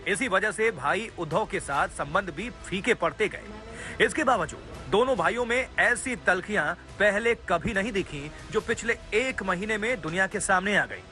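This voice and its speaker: A man's voice: high-pitched, lively intonation, moderate pace, bright, forceful tone. A man reading scripted television news narration in an emphatic, dramatic manner. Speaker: news reporter narrating a video package. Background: music bed.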